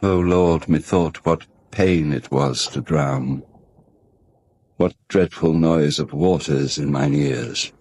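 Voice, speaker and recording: A man's voice: rumbling low, steady tone, slow, a little mellow, husky, no echo, full character voice. A British actor playing a grave, fatalistic man, intoning solemnly and theatrically in an audio drama. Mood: solemn, haunted.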